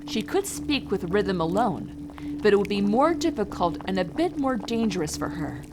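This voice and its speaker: A woman's voice: mid-range voice, big pitch swings, moderate pace, noisy background, natural voice. A woman playing a regal pony princess and kindly teacher in a fan audio drama, with a stately, explanatory manner. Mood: patient teaching.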